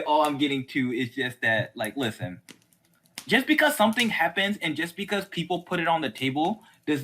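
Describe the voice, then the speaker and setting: A man with a bright, forward voice in a casual, opinionated chat. He rambles in colloquial phrases and circles back to stress his point. There is some faint noise and clicking on the recording. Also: fairly high pitch. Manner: rambling, colloquial, repeats for emphasis. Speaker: podcast host.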